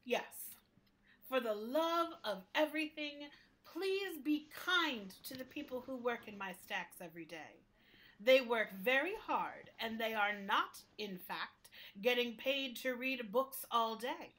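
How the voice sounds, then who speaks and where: A woman's voice: on the high side, lively intonation, takes it slowly, fairly bright tone. A woman playing a prim, caring library building in a radio play, scolding in an emphatic, mock-stern manner. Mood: earnest pleading.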